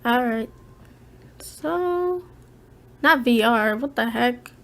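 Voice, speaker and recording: A soft-spoken woman hosting a casual gaming podcast from home, chatty and giggly. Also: fairly high pitch, animated, drawn-out words. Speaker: podcast host.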